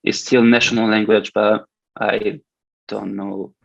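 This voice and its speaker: A man in his twenties, a swimmer from Switzerland whose first language is Italian, speaking with a Swiss Italian accent in a halting way, with many restarts, on a video call. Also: lowish voice, level tone, vocal fry, no room sound. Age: 20s. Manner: hesitant, many restarts and fillers. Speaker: guest swimmer. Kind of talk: casual interview. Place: indoor room with curtains. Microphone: video call, laptop camera. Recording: dull lo-fi video call audio.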